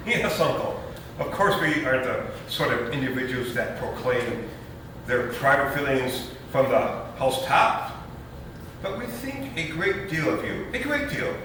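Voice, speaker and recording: A man playing a flustered, fawning young nephew in a comic stage reading, stammering and blurting as he flatters his uncle. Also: medium pitch, unhurried. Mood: fawning, nervous. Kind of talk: staged readers theater comedy. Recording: echoey hall, far-off mic, faint noise.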